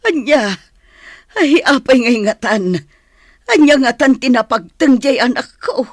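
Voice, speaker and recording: Woman acting worried older mom, raspy voice on the high side, swoops around, dry sound. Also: lively intonation, unhurried, dry recording. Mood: anxious. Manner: fretful, questioning. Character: anxious, fretful older mother worried for her son. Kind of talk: Filipino audio drama acting.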